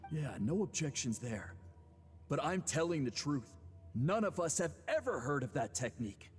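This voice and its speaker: An earnest male disciple in a fantasy game. His pitch is on the high side and lively, and he explains himself defensively. Long reverb trails his words over faint music. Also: normal speed, slightly rough, heavily acted. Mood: sheepish. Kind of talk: video game voice acting.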